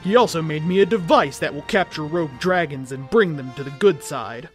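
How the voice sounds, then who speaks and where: A man's voice: fairly high pitch, fairly quick, faint music behind. A man reading a story aloud as host, with a theatrical, comedic delivery that acts out the dialogue.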